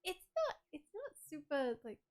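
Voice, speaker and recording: A woman's voice: high-pitched, huge pitch swings, normal speed. A woman chatting casually on a livestream, rambling with lots of "like" and "um" and laughing often as she reads out viewer messages.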